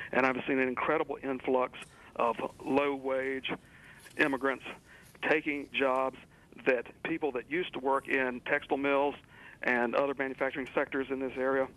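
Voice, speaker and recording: A man from the United States phoning a call-in show to give an earnest, emphatic, folksy opinion in deliberate phrases. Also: mid-range voice, a bit bright. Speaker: radio and TV phone-in caller. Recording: voice over phone line to broadcast.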